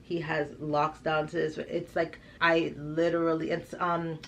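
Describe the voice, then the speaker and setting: A woman from Trinidad chatting casually and telling personal stories in an animated, emphatic way. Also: low voice, measured pace, a little hiss or hum. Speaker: vlogger and parent of six. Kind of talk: casual chat, personal storytelling.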